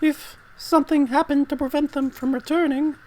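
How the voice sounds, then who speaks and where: A woman's voice: sits high, steady tone, normal speed, mellow, close to an everyday voice, poor audio. A woman playing an anxious, proper lady with formal, precise wording in an amateur dramatic reading. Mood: worried, reproachful. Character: anxious, proper woman, impatient yet sympathetic.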